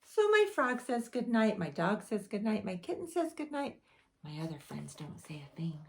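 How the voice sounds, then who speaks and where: Woman, preschool teacher, storytime read-aloud, lively swooping pitch, faint hiss behind. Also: moderate pace. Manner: animated storytime voice with big pitch swings. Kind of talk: reading a picture book aloud to children.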